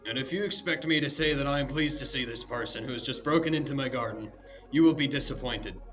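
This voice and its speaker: A man voicing a massive, loud-voiced scientist who bawls and bullies. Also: medium pitch, quick pace, faint music behind, voice pushed up, close to an everyday voice, low-quality recording. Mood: contemptuous. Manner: bullying, bawling.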